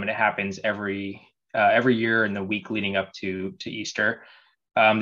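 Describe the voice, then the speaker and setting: A man's voice: on the low side, fairly bright tone. A young man, a referee guest, talking casually in an interview with lots of hesitant fillers. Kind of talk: casual video-call interview. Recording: dull lo-fi sound.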